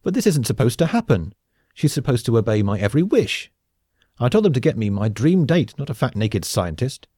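A man's voice: mid-range voice, very animated, a little fast, mellow, slightly rough, dry recording, studio quality, voice pushed up. A man voicing an entitled, swaggering rich heir with a bossy, demanding manner in an audiobook. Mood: shocked, angry. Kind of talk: audiobook character acting.

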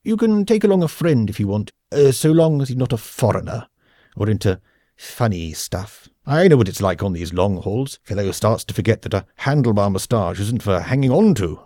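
A male audiobook reader voicing a big, slow-moving, pompous male office boss who blusters in an old-fashioned way, in a storybook reading. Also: fairly low pitch, animated, mellow, dry recording, studio quality. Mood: blustering.

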